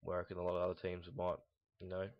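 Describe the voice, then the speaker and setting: A young man with a deep voice talks through casual gaming commentary in a flat, barely varying tone. He rambles and halts, speaking bluntly and off the cuff. The recording is dull and low in fidelity. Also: rapid-fire. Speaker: host of a gaming team audio log. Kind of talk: casual unscripted commentary on esports team predictions. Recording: dull lo-fi, roomy echo, mouse clicks.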